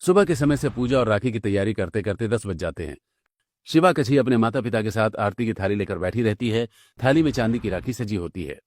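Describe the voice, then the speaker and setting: A man's voice: fairly low pitch, lively intonation, brisk, no room sound, clean studio sound. A man delivering scripted narration for a cartoon story.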